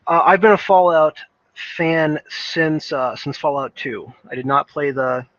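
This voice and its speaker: A man giving unscripted opinion commentary as a video game commentator, often halting and restarting his phrases. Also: a little high, fairly even intonation, fairly bright tone, dry recording, dull audio.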